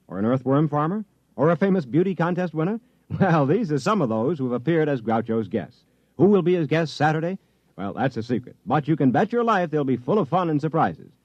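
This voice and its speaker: A man's voice: a little mellow, dry recording. Upbeat 1950s male promo announcer. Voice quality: upbeat, persuasive pitchman. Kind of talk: 1950s radio promo read. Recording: dull dry 1950s radio recording.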